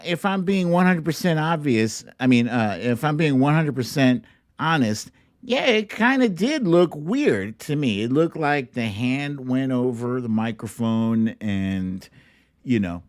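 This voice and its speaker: A man's voice: mid-range voice, dry recording, studio quality. A man hosting a casual political commentary livestream in a chatty, incredulous, amused way.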